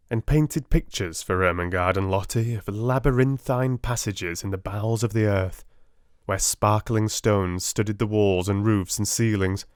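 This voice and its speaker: A man with a British accent giving a soft-spoken storybook narration as an audiobook reader. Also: lowish voice, dry recording, studio quality.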